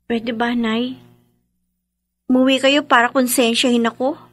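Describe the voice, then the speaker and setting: An actress playing a troubled, evasive young daughter and sister, hesitant and defensive, in a Tagalog radio drama. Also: female voice, on the high side, flat delivery, a little slow, dry recording, lightly acted.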